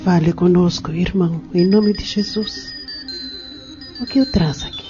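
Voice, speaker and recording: An actress playing a gentle but firm woman counselling a troubled spirit in an audio drama, earnest and persuasive. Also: fairly low pitch, a little slow, music in the background, natural voice. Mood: earnest, inviting. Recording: dull lo-fi audio drama recording.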